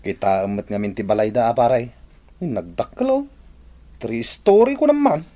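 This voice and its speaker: A man's voice: mid-range voice, big pitch swings, normal speed, mellow, close to an everyday voice. An actor playing a chatty village neighbor man, gossiping casually in an Ilocano radio drama. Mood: gossiping, impressed. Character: village neighbor man, chatty buddy impressed by rich family.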